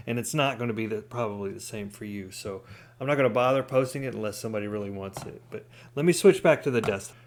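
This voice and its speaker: A man's voice: fairly low pitch, fast talker. A man hosting a hands-on DIY tutorial, talking informally and explaining things step by step. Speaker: DIY hobbyist video host. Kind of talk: casual hands-on tutorial talk.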